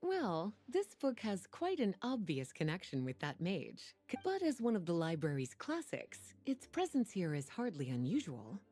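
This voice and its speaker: A woman voicing a poised, playful and knowing sorceress-librarian in a video game, with soft, breathy, unhurried, teasing delivery. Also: fairly low pitch, huge pitch swings, faint music behind. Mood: knowing, explanatory. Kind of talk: video game character dialogue.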